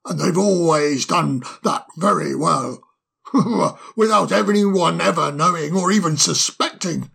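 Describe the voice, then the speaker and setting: A man's voice: on the high side, slow pace, creaky, pushed and bright, slightly rough, full character voice. A man doing a stammering timid owl. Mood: flustered. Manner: stammering, apologetic, bumbling. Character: timid flustered male owl underling. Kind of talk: storybook audiobook character voice.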